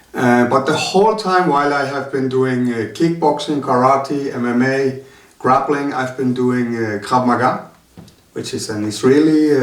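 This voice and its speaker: Male instructor, hesitant interview guest, roomy far-off sound. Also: mid-range voice. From Denmark. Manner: halting, many filler sounds. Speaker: martial arts instructor. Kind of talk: casual interview talk. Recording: roomy sound from a distant mic.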